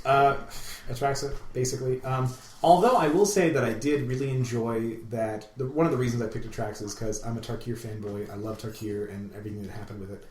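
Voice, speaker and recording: A man's voice: medium pitch, steady tone, fairly quick, darkish tone. A man co-hosting a casual gaming podcast, rambling and joking with enthusiasm. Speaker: podcast co-host. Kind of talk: casual hobby podcast chat about games. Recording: roomy echo, faint background noise.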